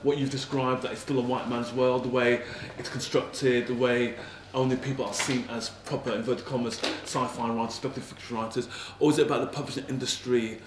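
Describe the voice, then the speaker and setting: A man chairing a festival panel, putting measured questions to the writers. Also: mid-range voice, level tone, noisy background. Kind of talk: moderating a panel discussion. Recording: echoey room, mic at a distance, faint ambience.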